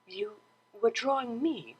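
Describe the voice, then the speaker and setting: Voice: mid-range voice, very animated, slow, husky, pitched above the performer's own voice, exaggerated voice. A performer voicing a refined young mare who plays cello, prim and easily flustered, in an audio story. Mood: puzzled. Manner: prim, formal phrasing.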